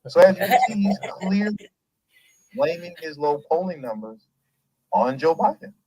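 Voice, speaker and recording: A man's voice: a little high, very animated, normal speed, dark tone, husky, dry recording, low-quality recording. A man hosting a news commentary show, reading articles aloud and commenting with asides and chuckles. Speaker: host.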